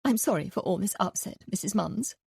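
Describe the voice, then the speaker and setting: A woman's voice: medium pitch, animated, fairly quick, creaky, a little mellow, husky, no echo, clean studio sound, full character voice. A woman reading an audiobook, voicing a worried young wife who speaks a little stiffly. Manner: stiff, anxious.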